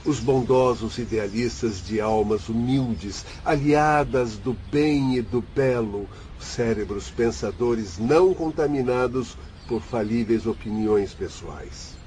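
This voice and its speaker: An actor playing a grave, compassionate spirit doctor in a radio drama, explaining in a formal, solemn manner. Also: male voice, medium pitch, slow pace, few pauses, darkish tone, slight background noise, natural voice.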